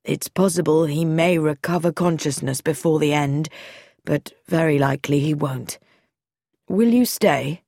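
Female narrator playing a middle-aged country doctor, lowered voice, grave and slow, dry studio read. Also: creaky, darkish tone, dry recording, full character voice. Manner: low, grave and measured.

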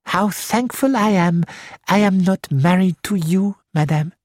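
A woman's voice: on the low side, unhurried, a little mellow, dry recording. A woman reading the part of a fussy elderly male detective with a French-flavoured manner, polite and precise. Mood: courteous.

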